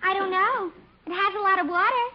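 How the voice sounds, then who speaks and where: An actress playing a naive, scatterbrained, earnest job seeker in a 1950s radio sitcom. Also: female voice, very high-pitched, brisk. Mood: dim, earnest. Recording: muffled old radio, faint hiss.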